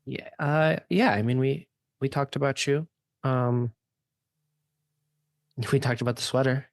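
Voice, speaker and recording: A man's voice: medium pitch, steady tone, unhurried, dry recording, clean studio sound, lightly acted. A man playing a guarded, gossipy, snobbish young woman in an improvised radio play, in casual conversational speech. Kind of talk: casual improvised phone-chat acting.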